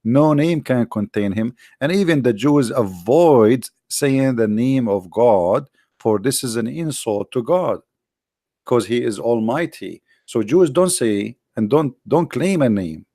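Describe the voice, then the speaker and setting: A man whose first language is Arabic, lecturing combatively over on-screen text, with many halting fillers and slow, drawn-out stress on key words. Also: medium pitch, animated, dark tone, no room sound, clean studio sound. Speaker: religious commentator.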